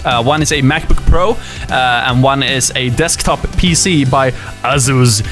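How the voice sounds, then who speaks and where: Lively young man answering fan questions. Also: on the high side. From Sweden. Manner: lively, laughs often, fillers like uh and like. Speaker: YouTuber and musician. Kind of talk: casual Q&A, reading viewer questions.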